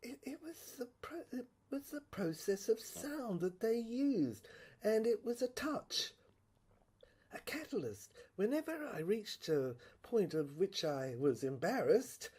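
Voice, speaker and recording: A woman playing a troubled, introspective character in an audio drama monologue, halting, repeating herself and searching for words, with sudden hushed drops. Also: fairly high pitch, slightly rough, heavily acted. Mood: searching, intense. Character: troubled introspective woman obsessively recounting her inner mental breakdown. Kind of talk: dramatic first-person monologue for a serial audio play.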